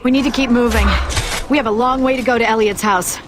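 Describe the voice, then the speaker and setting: Woman acting a brisk, urgent female soldier. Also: on the high side, steady tone, very noisy, natural voice. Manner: urgent, clipped. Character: brisk, alert female soldier guiding her squad. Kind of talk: dramatic video game acting.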